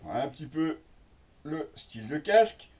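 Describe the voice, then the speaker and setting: Distant, higher-pitched man presenting an unboxing. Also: very animated, normal speed, darkish tone. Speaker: product reviewer and presenter. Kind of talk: casual unboxing demonstration.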